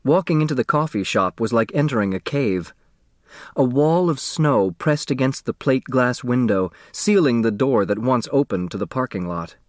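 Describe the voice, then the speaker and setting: A man's voice: mid-range voice, lively intonation, moderate pace, no echo, studio quality. A man reading a novel aloud as an audiobook narrator, in scripted literary narration.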